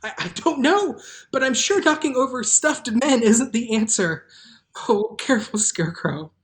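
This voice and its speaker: A plucky young girl, played by a woman, pitched above a normal talking voice. She is earnest and protective, both indignant and kindly, in an audio drama read. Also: animated, moderate pace, natural voice.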